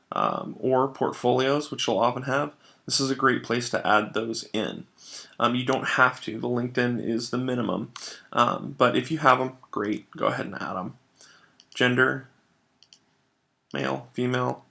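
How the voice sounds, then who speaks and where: A man narrating an instructional software tutorial, explaining things step by step in an informal way. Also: medium pitch, vocal fry. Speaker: software trainer.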